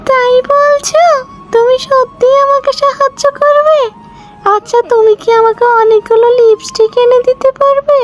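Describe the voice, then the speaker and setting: A woman's voice: very high voice, steady tone, moderate pace, soft background music. A woman voicing a wistful little twin girl in a children's cartoon, plaintive and childlike. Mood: hopeful.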